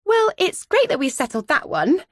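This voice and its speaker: A woman's voice: high voice, huge pitch swings, moderate pace, dry recording, studio quality, pitched above the performer's own voice. A woman voicing a brash, flirty female estate agent in an audiobook, breezy, emphatic and pushy. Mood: breezy, firm.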